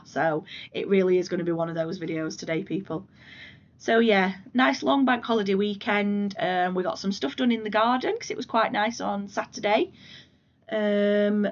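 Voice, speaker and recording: A woman from the UK with a hoarse voice from a cold, chatting casually about her crafts at home in a rambling, self-deprecating way. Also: mid-range voice, lo-fi sound. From United Kingdom. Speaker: flosstube vlogger. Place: living room at home.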